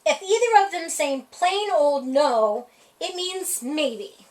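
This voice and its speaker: A woman with a high voice reads a children's picture book aloud at storytime, her pitch swinging widely as she plays up the lines. The delivery is playful and expressive, and she sounds set back from the microphone. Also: slow pace. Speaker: library storytime reader. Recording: voice heard from a distance off the mic.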